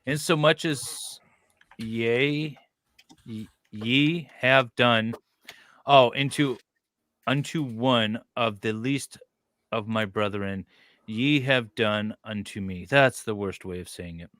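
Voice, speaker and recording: Bright-voiced man reading aloud with wry asides. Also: mid-range voice, slow pace, dry recording. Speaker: podcast co-host reading a document aloud. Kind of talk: dramatic reading with casual asides.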